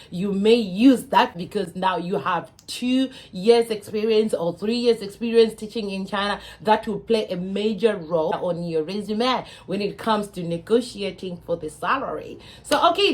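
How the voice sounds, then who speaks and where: A Zimbabwean woman in her thirties, an English teacher, speaking earnestly to her viewers with a lot of fillers. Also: mid-range voice. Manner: earnest, advising, with frequent fillers. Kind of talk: casual talk to camera.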